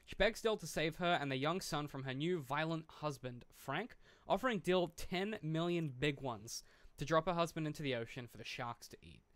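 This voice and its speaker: A man's voice: a little high, animated, moderate pace, a bit bright, no echo. A man co-hosting a film podcast, talking casually in a dry, rambling way. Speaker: podcast co-host. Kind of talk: casual film discussion, sometimes reading a plot summary.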